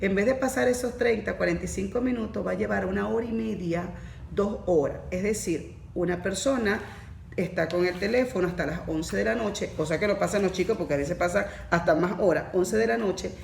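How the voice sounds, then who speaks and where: A woman with a strongly gravelly, creaky voice explains her points patiently in a podcast discussion and puts pointed questions to the others. The room is echoey, with a faint hiss underneath. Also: medium pitch, fairly quick, darkish tone. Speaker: neurodiversity course facilitator.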